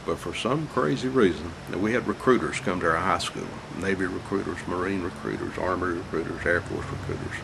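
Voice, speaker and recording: An older man with a congested, croaky voice, reminiscing about his life alone at home in a halting, rambling way with small chuckles. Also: sits low. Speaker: vlogger telling his life story. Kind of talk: casual reminiscing monologue. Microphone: camera mic. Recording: noisy home camera recording.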